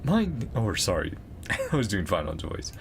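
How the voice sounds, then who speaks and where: A man's voice: lowish voice, huge pitch swings, a little slow, vocal fry, slight background noise. A man chatting casually on a gaming stream, in an off-the-cuff conversational way. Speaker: streamer reading game dialogue aloud.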